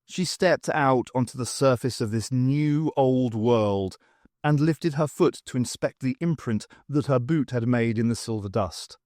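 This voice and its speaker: A man's voice: medium pitch, unhurried, a little mellow, no room sound, studio quality. A man reading scripted narration from a science fiction audiobook.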